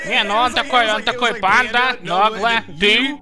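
Very high-voiced excitable male dubber. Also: a little slow, a crowd in the background. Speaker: voice-over dubber of a gaming group. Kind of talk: comic gaming chat dub.

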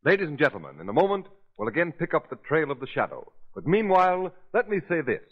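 A man announcing on 1930s radio, giving an emphatic, formal advertising pitch. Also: big pitch swings, fast, faint music behind. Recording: dull 1930s radio recording.

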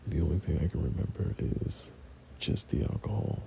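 A young man doing intimate boyfriend roleplay ASMR in a breathy, often whispered voice, speaking tenderly and hesitantly. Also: a bass voice, normal speed, slight background noise. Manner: tender, hesitant, softly chuckling. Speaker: boyfriend ASMR audio performer.

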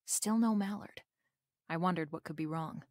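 A woman playing a brisk, bossy, wisecracking private detective in an old-time radio-style mystery drama. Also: lowish voice, a little mellow, close to an everyday voice. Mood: wry, narrating.

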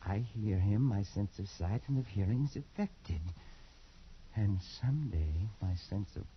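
Haunted, feverish Englishman, wildly swinging pitch. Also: expressive, measured pace, a little hiss or hum. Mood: haunted, resigned. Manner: agitated, feverish, swinging from brooding to frantic. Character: tormented Englishman haunted by a curse, near madness. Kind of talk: 1940s radio drama acting. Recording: muffled old radio recording.

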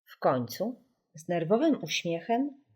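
A woman narrates a spooky tale for children with animated, swooping pitch. Her delivery is slow and theatrical, built for suspense, and her bright voice has a breathy, husky edge. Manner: theatrical, suspenseful, dropping into whispers. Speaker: audiobook narrator. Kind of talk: dramatic scripted narration of a children's spooky story.

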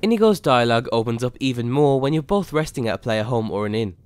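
A man's voice: medium pitch, expressive, fast talker, clean tone. A man reading scripted narration as a video game mod reviewer, in an explanatory way.

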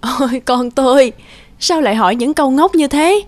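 An actress playing a gentle, caring mother in a cartoon. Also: high-pitched, animated, moderate pace, no echo. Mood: gentle, explaining. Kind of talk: cartoon dub acting. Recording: loud music under the voice.

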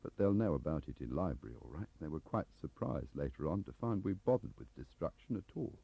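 A man's voice: extremely low pitch, big pitch swings, a little fast, vocal fry, breathy edge, no echo, heavily acted. A man voicing an aggrieved, lonely middle-aged English clerk who rambles defensively, in an audiobook on a dull cassette recording.

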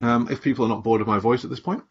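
A middle-aged man giving a screen-share presentation on a video call, explaining things in an unhurried way with plenty of fillers. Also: medium pitch, fairly even intonation, a little hiss or hum. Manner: explanatory, thinking aloud with many fillers. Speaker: community presenter. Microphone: video call webcam. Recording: dull lo-fi video call audio.

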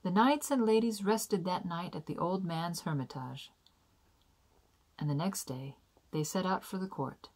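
Husky, low-voiced woman storyteller. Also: measured pace, darkish tone. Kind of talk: reading a children's fairy tale aloud. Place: small indoor room.

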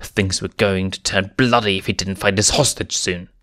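A man narrating a fan story in a steady, measured reading voice. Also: low voice, no room sound, clean studio sound. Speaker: fanfiction reader.

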